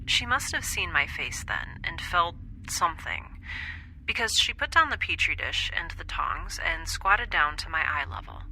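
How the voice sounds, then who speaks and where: Very bright-voiced, wry space scientist. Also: female voice, lowish voice, animated, a little hiss or hum, exaggerated voice, poor audio. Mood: pensive, confiding. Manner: conversational, halting, confiding, self-deprecating. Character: wry, anxious young woman scientist living in space. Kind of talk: scripted audio drama monologue, like a personal log.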